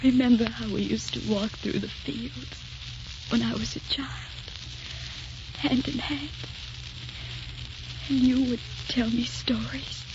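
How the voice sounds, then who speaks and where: High, slow, frail devoted wife. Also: female voice, fairly even intonation, noisy background. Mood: wistful. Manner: tender, wistful, lingering delivery. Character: frail, dying young wife, devoted and dreamy. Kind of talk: 1940s radio drama acting. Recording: muffled old 1940s radio recording, music behind.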